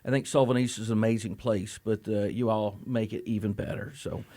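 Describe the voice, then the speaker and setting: A high school principal talking on a local radio show. He is upbeat and chatty, and he encourages his student guests warmly while keeping the conversation easy and friendly. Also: fairly even intonation, brisk. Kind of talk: casual radio talk, interviewing students. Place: radio studio.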